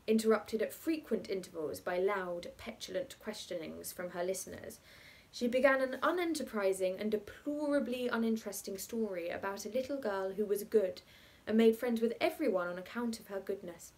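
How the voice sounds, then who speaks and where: A young adult woman reads a literary short story aloud in a measured, articulate, expressive way. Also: medium pitch.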